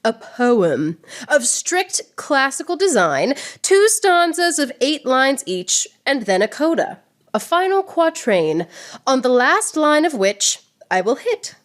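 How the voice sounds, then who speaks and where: A proud, witty swordswoman poet of radio theatre, played by a woman. Her high voice swings widely in pitch and takes its time, savouring each mocking turn of verse with a theatrical swagger. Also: sing-song, slow pace, exaggerated voice. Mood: proud, sardonic. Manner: declamatory, mocking, precise wordplay.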